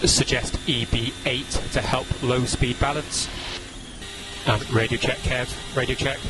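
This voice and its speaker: A man working as a race engineer, giving brisk, clipped updates over team radio. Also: medium pitch, fairly even intonation, background noise. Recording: radio over loud music.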